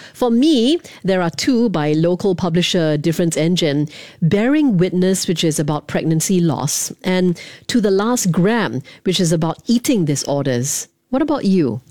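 Lady radio host, low warm voice, reads a script then chats with some uhs, very dry mic. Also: no room sound. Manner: scripted intro, then loose with fillers. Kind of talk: radio interview hosting.

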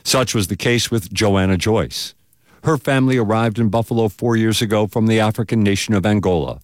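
A man's voice: fairly low pitch, a little fast, no room sound, clean studio sound. A man working as a local radio news host and reporter, reading announcements and reports. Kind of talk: live traffic notes and scripted radio reporting.

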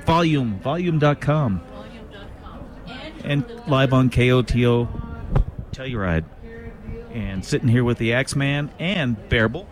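A man's voice: mid-range voice, expressive, measured pace, pauses often, crowd noise. Easygoing man asking chatty questions. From Chicago. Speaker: radio interviewer. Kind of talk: casual live radio interview.

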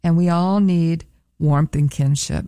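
A woman who works at a museum, talking in a relaxed radio interview and promoting events and admission rates in an upbeat way. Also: low-pitched, unhurried, no room sound, studio quality. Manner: upbeat, promotional, careful over a foreign word. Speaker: museum staff guest.

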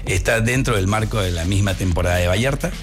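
A Mexican man hosting a radio show, chatting casually and reading announcements in an animated, talkative way. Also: on the low side, over music. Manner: animated, chatty, quick asides. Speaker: radio host.

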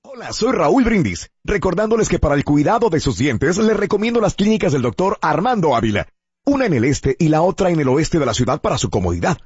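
Male ad announcer, husky and animated, persuasive radio read in a dry, dull booth sound. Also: dry recording. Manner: warm, persuasive pitch with slow repeated phone numbers.

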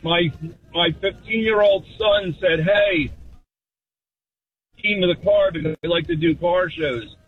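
A man's voice: high voice, unhurried, long pauses, husky. Blunt man on a muffled line. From Philadelphia, US. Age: 50s. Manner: insistent, blunt. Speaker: radio show caller. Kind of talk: casual phone chat. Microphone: phone call into radio show. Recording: muffled narrow-band phone call.

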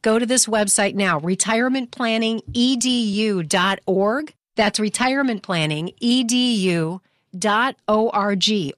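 A woman with an American accent speaks as a radio host, presenting and promoting in a polished broadcast style. Her voice sits at a middling pitch, recorded close and dry in a studio. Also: no room sound. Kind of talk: scripted radio hosting and promotion.

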